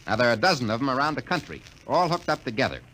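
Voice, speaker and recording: A man's voice: medium pitch, very animated, sharp tone, rough recording. An actor playing a breezy, slangy salesman in a 1950s radio drama. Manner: breezy, slangy, fast-talking. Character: fast-talking salesman and repairman. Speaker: logic salesman. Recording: old radio recording with faint noise.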